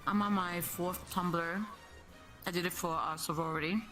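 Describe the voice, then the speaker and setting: A woman chatting casually as her daughter's guest, with a slightly raspy voice, laughing often and giving short replies. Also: fairly low pitch, steady tone, moderate pace, fairly bright tone, soft background music. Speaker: guest, host's mother. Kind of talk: casual chat.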